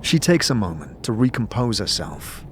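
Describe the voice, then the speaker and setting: A man narrating a scripted fantasy audio drama in the second person, with measured, descriptive storytelling. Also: on the low side, big pitch swings, a little hiss or hum. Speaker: narrator and game master. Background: music.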